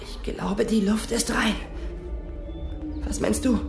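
A woman playing an excitable, anxious young boy in a fairy-tale audio drama. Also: a little high, takes it slowly, creaky, over loud music. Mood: hushed, watchful. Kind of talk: German audio drama acting.

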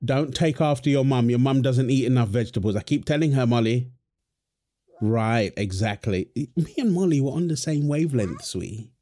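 A man's voice: mid-range voice, moderate pace, warm tone, close to an everyday voice. A man playing a young father feeding his baby daughter, playful and affectionate, laughing often. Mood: playful teasing. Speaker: voice actor in audio roleplay. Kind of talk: wholesome audio roleplay.

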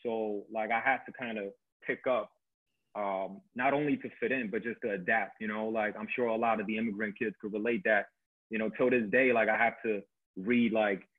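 A man's voice: lowish voice, steady tone, normal speed. A young man raised in Queens, speaking with a New York accent, chatting loosely on a video call with lots of "you know" and "like". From born in Korea, raised in Queens. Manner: laid-back, heavy fillers like "you know". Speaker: contest finalist guest. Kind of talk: casual video call chat. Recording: tinny phone-like line.

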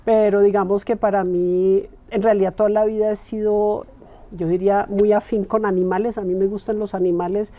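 Woman in her 40s from colombia, mellow warm voice, easygoing interview guest, laughs a lot. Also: mid-range voice, level tone, moderate pace. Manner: thoughtful, gestures while talking, laughs easily. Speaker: interviewed guest, club member. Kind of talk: relaxed conversational interview. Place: bright room with large windows, indoors.

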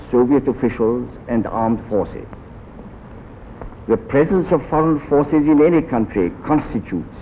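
Middle-aged male diplomat, formal and deliberate reading; thin, noisy 1940s archive sound. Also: mid-range voice, narrow, tinny audio. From Iran. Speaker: diplomat, ambassador. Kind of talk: formal prepared statement read aloud. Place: large council chamber. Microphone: table microphone.

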